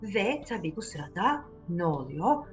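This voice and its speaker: Animated woman storyteller, slightly muffled recording. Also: medium pitch, a little slow, faint music behind. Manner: expressive, addresses children directly, repeats words for emphasis. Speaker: children's storyteller, channel host. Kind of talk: animated fairy-tale narration for children.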